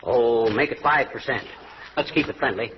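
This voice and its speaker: An American actor playing a blustering, stingy, wisecracking husband in a folksy 1940s radio comedy style. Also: male voice, medium pitch, moderate pace, close to an everyday voice. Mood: mock businesslike. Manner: folksy, self-satisfied wisecracking. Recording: noisy, muffled vintage radio recording.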